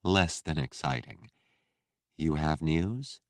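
Guy doing a haughty lady admiral in an audiobook, wry and composed, low voice. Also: lively intonation, slow pace, no room sound, studio quality, exaggerated voice. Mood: cool, pleased. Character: cold, haughty female admiral, fond and wry.

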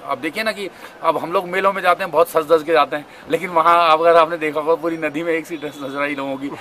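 A man's voice: a little high, steady tone, fast talker, sharp tone, a little hiss or hum. A middle-aged man from India giving his opinion in an interview, earnest and explanatory. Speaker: festival-goer interviewed.

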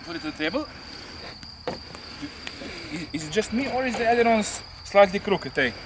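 A man's voice: high-pitched, expressive, moderate pace. A man with a Slovenian accent chatting casually about his model plane outdoors on an airfield, tagging his lines with "eh?". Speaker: RC pilot. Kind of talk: casual chat. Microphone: camera mic, outdoors. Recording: outdoor noise, crickets.

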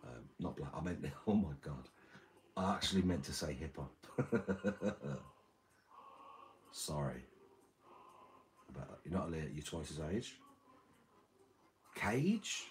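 Very deep man on stream. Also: lively intonation, takes it slowly, pauses often, a little hiss or hum. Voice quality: very deep, slightly damaged from singing. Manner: rambling, crude, jokey. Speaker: livestream host. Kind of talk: casual chat reading live chat. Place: small room with red curtain and red light.

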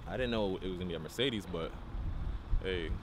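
A chatty man in his thirties films himself on a handheld camera during a team road trip, tossing out quick updates to his viewers. He laughs easily and keeps the tone loose and casual. The camera mic picks up plenty of noise around him. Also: low-pitched. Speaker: basketball player vlogging a team road trip. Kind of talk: casual travel vlog. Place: moving between cars, a gas station and a hotel. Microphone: handheld camera at arm's length.

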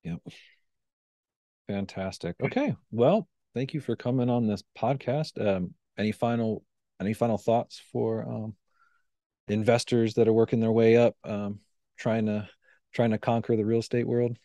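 A man's voice: on the low side, steady tone, moderate pace, warm tone, no echo. A man in his thirties hosting a podcast, affirming his guest politely and asking short questions into a studio mic. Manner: brief affirming interjections, polite. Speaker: podcast host. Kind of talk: interview hosting. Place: small studio with neon-lit backdrop.

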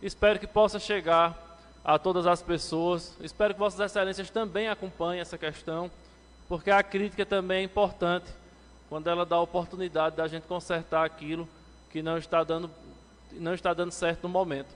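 A man in his thirties from Brazil, a city councillor giving a formal speech at a lectern to the council, addressing the chair and rising in pitch when he presses a point. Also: flat delivery, a little fast. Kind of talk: legislative floor speech. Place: council chamber, indoors, medium-sized. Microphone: lectern microphone, standing. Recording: long reverb from a council chamber.

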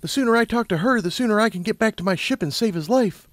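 A man acts out a young female unicorn pilot in a storybook, anxious and determined. The voice is lifted well up in pitch. It pushes forward with urgent insistence, in a dry, close recording. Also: fast talker, dry recording, lightly acted.

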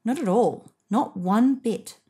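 A woman narrating a children's picture book expressively, with deliberate emphasis on key phrases. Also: fairly high pitch, dark tone, husky.

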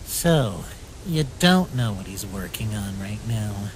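A man voicing a small, polite, inquisitive talking flower in an animated comic dub. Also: lowish voice, slow, slight background noise, rough recording. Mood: apologetic, subdued.

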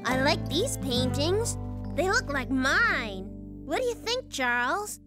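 Little cartoon animal girl, earnest young painter, high voice, measured pace, loud music behind. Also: big pitch swings, full character voice. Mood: eager. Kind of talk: children's cartoon dialogue.

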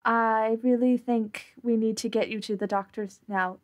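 A woman's voice: fairly high pitch, fairly even intonation, unhurried. A woman playing a tabletop roleplaying game, tentatively narrating and speaking for her character in a casual way.

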